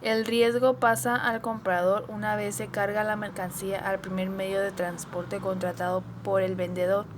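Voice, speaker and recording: A woman reads prepared explanatory material as a presenter. Her voice is mid-pitched, with faint ambient noise behind it. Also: flat delivery, normal speed, few pauses. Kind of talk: scripted explanatory narration.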